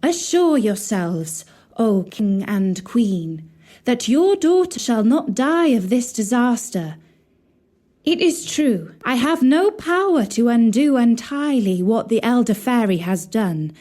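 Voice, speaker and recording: Slow, solemn young fairy godmother voiced by a woman. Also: a little high, sing-song, dark tone, pitched above the performer's own voice, exaggerated voice. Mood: solemn, reassuring.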